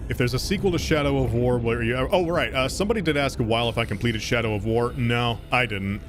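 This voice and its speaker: A man's voice: medium pitch, fast. Rambling easygoing male streamer. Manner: rambling, restarts and fillers, laughs often. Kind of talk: casual gaming stream chat, reading donations.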